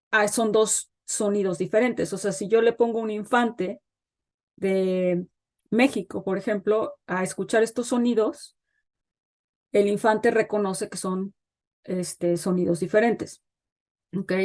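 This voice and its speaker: A woman's voice: medium pitch, darkish tone. Middle-aged woman, guest expert, thoughtful explainer who hesitates often with fillers. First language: Spanish. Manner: thoughtful, pauses with frequent fillers. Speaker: guest expert on child development. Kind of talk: explanatory interview talk.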